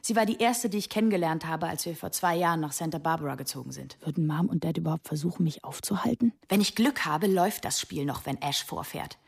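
A woman's voice: sits low, animated, fast, vocal fry, few pauses, breathy edge, dry recording, clean studio sound, full character voice. A woman playing a rebellious, sarcastic teenage girl in a radio drama, telling her story in a confiding, dramatic way. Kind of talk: radio drama narration and dialogue.

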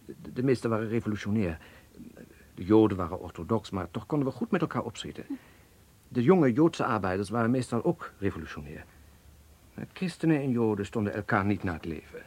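Earnest young man, low husky voice. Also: animated, brisk, darkish tone. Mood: grave, recollecting. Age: young adult. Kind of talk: Dutch radio play acting.

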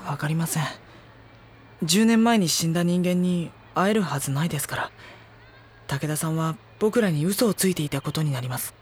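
An actor playing a reserved high school boy in an audio drama, narrating and talking in a polite, dry, exasperated manner. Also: moderate pace, a little hiss or hum, natural voice.

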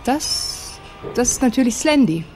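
A woman's voice: a little high, animated, measured pace, a little mellow, soft background music. An actress playing a helpful local woman in a radio drama.

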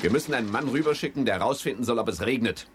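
An actor playing a bossy, sardonic commodity speculator in a 1970s German radio drama, sly and mockingly jovial. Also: male voice, medium pitch, animated, very fast, background noise, lightly acted. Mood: impatient, scheming.

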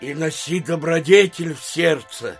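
Stern ailing father in audio drama: high, creaky voice, preachy drawn-out chant. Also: soft background music, heavily acted. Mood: preachy, droning. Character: stern, sickly father droning moral precepts at his son.

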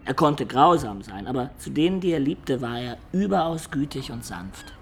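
An actor playing an imaginative peasant-born man who looks back on his life in a German radio play, in reflective, expressive storytelling. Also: mid-range voice, normal speed, vocal fry, a little hiss or hum. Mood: fond, admiring.

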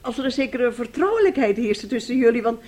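Husky, scheming middle-aged mother. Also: a little high, lively intonation, quick pace, dark tone. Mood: coaxing, nervous. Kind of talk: radio drama acting.

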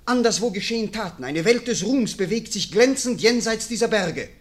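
A man's voice: high-pitched, expressive, moderate pace. An actor playing an ambitious young nobleman who argues his case earnestly and declaims in a classic radio play. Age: young adult.

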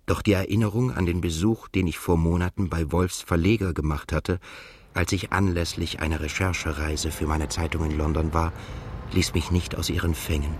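An actor playing a brooding, restrained émigré journalist who narrates and asks questions in a German radio drama. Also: male voice, deep voice, fairly even intonation, normal speed, noisy background, close to an everyday voice. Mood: brooding recollection.

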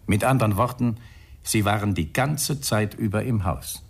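A man's voice: lowish voice, creaky, breathy edge. An actor playing a probing, irritable male police inspector in a radio crime drama, weary, sardonic and insistent. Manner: measured, insistent questioning, grumbling.

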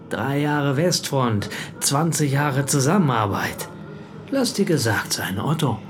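An actor playing a drunken, middle-aged German Nazi businessman, sentimental and boastful, in a radio drama. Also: mid-range voice. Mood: maudlin, chummy. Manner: tipsy, chummy, declamatory.

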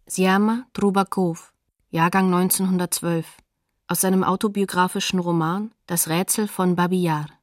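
A woman announcer with a slightly low voice gives scripted documentary narration. She is factual and measured. The recording is close and dry, with no room sound. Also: steady tone, no echo. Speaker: announcer of sources in radio play.

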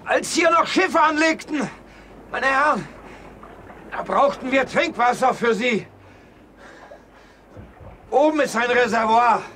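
A man's voice: very high voice, sharp tone, a little hiss or hum, heavily acted. An actor playing a courteous, commanding man who lives alone on an island, in a radio play.

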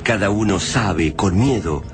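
An actor playing the grave narrator of an alien-invasion radio drama, solemn and literary, with an Argentine accent. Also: male voice, deep voice, unhurried, over music.

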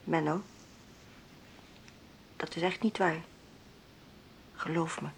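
A woman playing a gentle, weary mother talking quietly to her son in a radio drama, with a breathy, husky voice. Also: sits low, fairly even intonation, slow, full character voice. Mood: gentle, insistent.

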